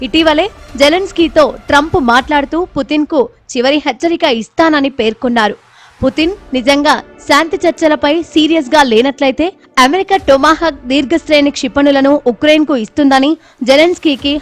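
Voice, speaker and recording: High-pitched woman reading scripted news. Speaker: news voice-over reporter. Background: background music bed.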